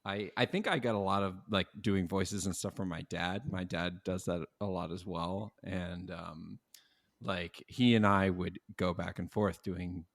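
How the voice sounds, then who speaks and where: A man, a voice actor, reminiscing casually in an interview, a little halting. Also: low-pitched, fairly even intonation, creaky, dry recording, studio quality.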